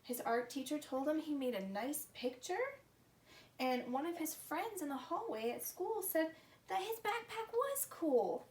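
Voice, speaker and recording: A woman hosting a story time for young children, talking in simple, animated, exclaiming phrases. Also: high-pitched, unhurried. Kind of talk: expressive read-aloud to preschool children. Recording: roomy echo, distant mic, background noise.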